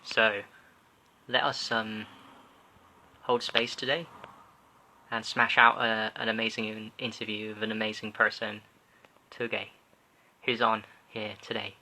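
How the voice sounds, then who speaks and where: A man hosting a live social media interview over a video call, rambling and excitable. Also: lowish voice, a little slow, long pauses, slight background noise, low-quality recording. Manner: excitable, rambling, laughs loudly. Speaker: host.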